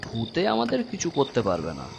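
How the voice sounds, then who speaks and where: A man's voice: mid-range voice, huge pitch swings, fairly quick, creaky, very noisy. A man playing a resolute, secretive leader of ghost hunters in a horror cartoon, speaking with grave authority. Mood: tense, cautioning. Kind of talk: animated horror story dialogue.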